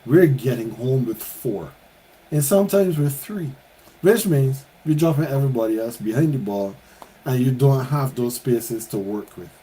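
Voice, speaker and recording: A man's voice: medium pitch, expressive, normal speed, warm tone, slight background noise, low-quality recording. A man hosting a podcast, talking sport in a playful, teasing way. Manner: playful, teasing, builds up to questions. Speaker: podcast host. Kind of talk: casual sports chat.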